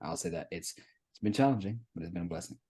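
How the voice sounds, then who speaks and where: An American man in his thirties, a guest telling his own story in an earnest, reflective way, often pausing with fillers. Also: medium pitch, sing-song, creaky, warm tone, slightly rough. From United States. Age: 30s. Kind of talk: candid personal testimony.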